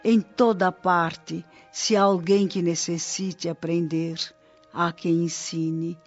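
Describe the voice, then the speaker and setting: A kindly old Brazilian spirit nurse. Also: female voice, fairly low pitch, level tone, slow pace. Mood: wise, serene. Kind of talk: radio drama acting in Brazilian Portuguese. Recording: dull lo-fi audio with music bed. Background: background music.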